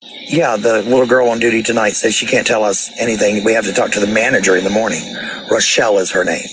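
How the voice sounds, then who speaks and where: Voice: medium pitch, fairly even intonation, fast talker, noisy background. A person ranting casually in a blunt, coarse, profane way, heard on a played-back voice clip.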